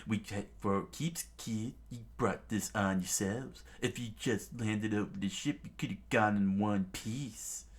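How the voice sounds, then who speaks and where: Man doing a cold, blunt pirate gunman, slow. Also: on the low side, slightly rough. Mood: threatening.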